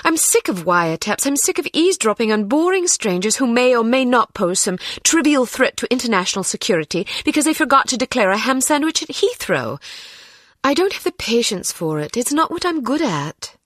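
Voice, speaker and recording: An actress playing a determined, sharp-tongued young British spy in a video game, speaking in a dry, articulate way. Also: female voice, on the high side, sing-song, fairly quick, no echo. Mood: frustrated.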